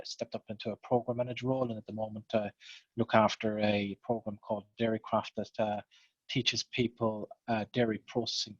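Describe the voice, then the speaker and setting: An Irish man introducing himself on a video call, friendly and hesitant. His voice stays flat, and the sound is dry and muffled. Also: medium pitch, no echo. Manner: friendly, hesitant with many ums. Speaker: panelist, programme manager. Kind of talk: self-introduction on a panel.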